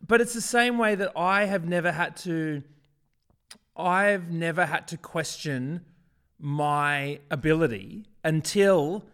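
A man's voice: fairly high pitch, slow, fairly bright tone, smooth. A man with an Australian accent sharing reflective anecdotes in casual conversation, emphatic and building his points in broken phrases.

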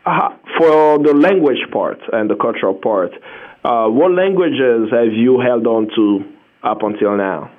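A man co-hosting a radio interview, asking measured and probing questions. His voice comes through a thin, phone-like channel. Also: mid-range voice. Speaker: radio co-host.

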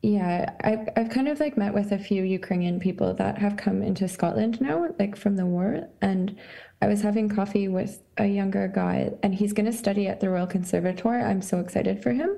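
A young woman from Canada answers interview questions in a relaxed, searching way, stopping often to find her words. Her voice is mellow and dark, with a gravelly fry running underneath. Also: mid-range voice. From Canada (Saskatchewan). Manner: thoughtful, halting, lots of likes and ums. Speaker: artist guest. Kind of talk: casual conversational interview.

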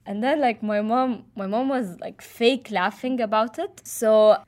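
A woman's voice: fairly high pitch, measured pace, a little mellow. A young woman from Jordan, casually telling a personal story with many fillers and restarts. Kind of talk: casual chat.

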